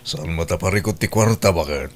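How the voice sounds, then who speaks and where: A man's voice: deep voice, brisk, a little hiss or hum, lightly acted. A man playing a practical, somewhat doubtful husband and father in an Ilocano audio drama, with an Ilocano accent.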